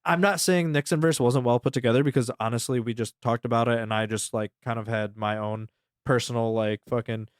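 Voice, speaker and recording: A man's voice: mid-range voice, fairly even intonation, fairly quick, no echo, studio quality. A man co-hosting a podcast, explaining his opinions casually, with hedges and some swearing. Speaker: podcast co-host. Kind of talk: casual discussion of a web series.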